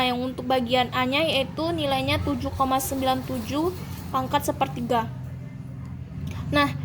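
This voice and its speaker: A young woman, a university student, working through calculus problems step by step, pausing to correct herself. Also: sits high, background noise. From Indonesia. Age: young adult. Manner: methodical, step-by-step, self-correcting. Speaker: university student explaining homework. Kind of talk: calculus walkthrough.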